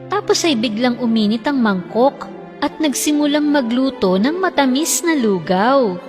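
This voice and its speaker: A woman's voice: high-pitched, lively intonation, measured pace, a little mellow, long reverb tail, soft background music. A woman narrating a scripted children's fairy tale.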